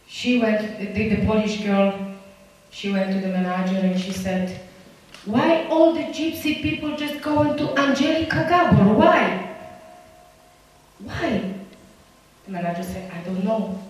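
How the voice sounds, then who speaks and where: A woman whose first language is Romani, giving earnest personal testimony to a group in halting English with many pauses and restarts. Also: mid-range voice. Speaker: community speaker. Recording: echoey room, voice from far off.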